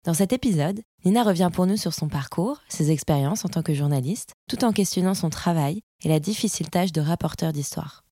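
A woman's voice: lowish voice, fairly quick, few pauses, warm tone, no echo, clean studio sound. A woman hosting a podcast, reading a scripted introduction and then asking friendly interview questions. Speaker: podcast host.

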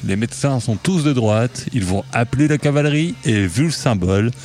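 A man's voice: mid-range voice, lively intonation, fairly quick, darkish tone, soft background music, lightly acted. An actor playing a blunt, cynical male activist. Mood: teasing. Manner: mocking, slangy, blunt. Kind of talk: French audio fiction acting.

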